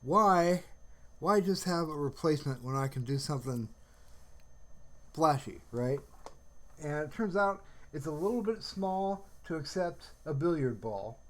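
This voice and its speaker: A man's voice: on the high side, expressive, unhurried. A man chatting casually on a livestream, rambling unhurriedly and musing aloud to himself. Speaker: live streamer. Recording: loud music over the voice. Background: loud music.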